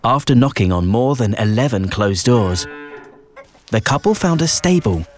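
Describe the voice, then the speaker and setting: A man narrating a rhyming children's bedtime story in a measured storytelling delivery with deliberate pauses. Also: medium pitch, lively intonation. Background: festive music and sound effects.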